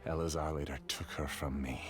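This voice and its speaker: A man voicing an obsessed, grieving male scholar who calmly justifies himself in a game. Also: extremely low pitch, a little fast. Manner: calm, self-justifying. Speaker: game character. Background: game music.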